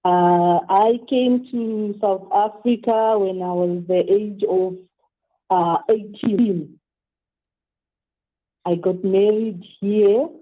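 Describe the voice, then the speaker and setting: A woman in her thirties from Zimbabwe tells her own story over the phone to a radio host. She speaks at a middle pitch, hesitating and restarting sentences as she goes. The sound is thin and boxy, like a narrow phone line. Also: fairly even intonation, slow. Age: 30s. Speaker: guest. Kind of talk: phone-in interview, personal story. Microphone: telephone.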